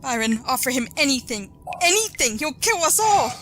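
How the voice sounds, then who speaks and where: An actress playing a wealthy wife, panicked and pleading, in an old-time radio thriller. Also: female voice, sits high, big pitch swings, normal speed, a bit bright, a little hiss or hum.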